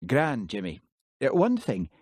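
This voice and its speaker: A man playing a shrewd, easygoing Highland constable, dry and understated. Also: wildly swooping pitch, measured pace, darkish tone, no echo.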